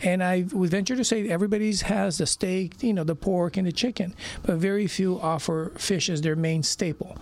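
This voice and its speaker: Middle-aged guy from brazil, restaurant owner on the radio, high-ish gravelly voice, lots of you knows. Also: fairly even intonation, normal speed, hardly any pauses. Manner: chatty, lots of "you know" fillers. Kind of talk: casual radio interview.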